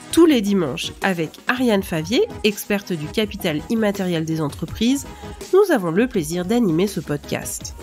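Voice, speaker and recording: A woman hosting a podcast, reading a scripted intro and asking interview questions in a clear, measured, polished way. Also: medium pitch, darkish tone. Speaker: podcast host.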